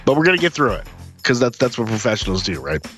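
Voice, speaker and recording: Man with a cold, lively and chatty. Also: medium pitch, fast talker, soft background music. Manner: relaxed, conversational, lively intonation. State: has a cold, rough voice. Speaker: podcast co-host. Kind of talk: casual chat.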